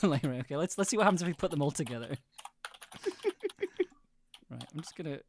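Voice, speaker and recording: A woman's voice: sits low, animated, brisk, a little mellow, a little hiss or hum. A woman hosting a casual live stream, bantering and often repeating her words as she goes. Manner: giggly, stammering repeats of words. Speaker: host of a live audio-making stream. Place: at a computer on a live stream, keyboard typing behind.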